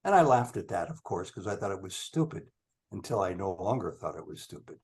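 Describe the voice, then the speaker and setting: A man's voice: deep voice, sing-song, brisk, a little mellow. A man in his seventies hosting a spiritual discussion group over a video call, chatty and questioning. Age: 70s. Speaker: satsang group host asking questions.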